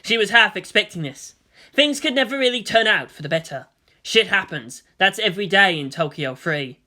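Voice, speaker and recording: A man's voice: sits high, animated, normal speed, bright, forceful tone. A man giving a dramatic scripted narration of a fan fiction story.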